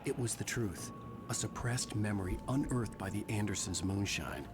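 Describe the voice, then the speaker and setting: An actor playing a haunted male writer, narrating in a grave, measured way over loud game music. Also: lowish voice, natural voice. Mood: somber. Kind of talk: scripted narration.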